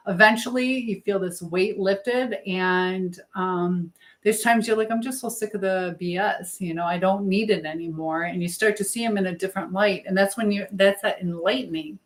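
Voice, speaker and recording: A woman's voice: mid-range voice, moderate pace. An American woman chatting casually with livestream viewers from her home kitchen, reading out and answering their comments as she cooks. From United States. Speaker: livestream host. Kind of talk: casual live chat with viewers while cooking.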